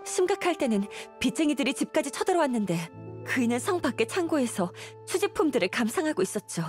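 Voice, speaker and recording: A woman's voice: high-pitched, sing-song, brisk, soft background music. An actress playing a weary, apologetic wife and mother burdened by debt in a video game, speaking politely and plaintively. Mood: reproachful. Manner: polite, plaintive, earnest storytelling.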